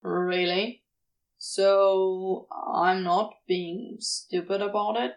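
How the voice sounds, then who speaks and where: A woman's voice: medium pitch, steady tone, very slow, voice pushed down, poor audio. A woman voicing a sardonic, grumbling male demon in an audio story, blunt and dry but devoted underneath. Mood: grumbling, vulnerable. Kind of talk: fan fiction audio reading, acted dialogue.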